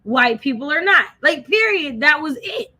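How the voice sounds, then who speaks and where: A woman's voice: sits high, expressive, normal speed, sharp tone. A woman with an American accent co-hosting a casual live book discussion, speaking emphatically and repeating phrases to drive points home. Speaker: co-host of a book discussion livestream.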